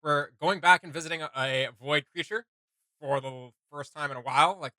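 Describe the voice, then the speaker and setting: A man hosting a casual reaction chat, talking in an animated way with quick quips. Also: a little high, dry recording. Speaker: host.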